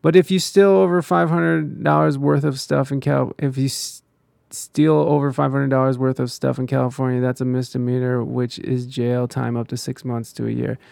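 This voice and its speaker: Gravelly man ranting on a stream. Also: medium pitch, flat delivery, moderate pace, a little mellow, dry recording, studio quality. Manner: profane, rambling, ranting with restarts. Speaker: live streamer reading viewer comments. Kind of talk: casual live-stream chat.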